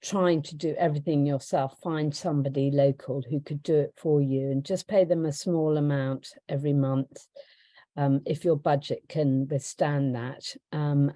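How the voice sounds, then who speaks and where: A woman hosting a business webinar, talking through slides in a hesitant way with lots of ums and a croaky voice. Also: deep voice, warm tone, dry recording. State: croaky voice, clearing throat. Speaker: webinar host for a holiday rental business.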